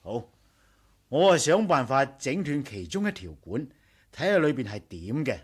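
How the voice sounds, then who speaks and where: An eager, animated scientist. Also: male voice, medium pitch, moderate pace, slightly rough. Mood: curious, eager. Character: eager, confident male scientist, methodical and curious. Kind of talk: Cantonese radio drama acting.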